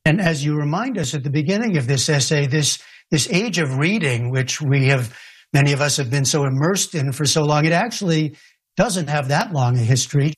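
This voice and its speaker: A man works as a news correspondent in a broadcast interview. His voice is husky and a little high. He asks his question in a measured, deliberate way.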